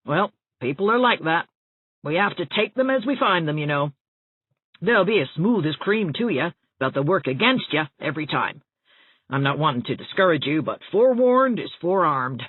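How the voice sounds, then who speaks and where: A woman's voice: deep voice, slightly rough, phone-quality sound. A woman voicing a chatty, well-meaning older small-town busybody in an audiobook, with a folksy, rambling, confiding manner. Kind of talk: audiobook character dialogue. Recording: thin band-limited audio, roomy echo.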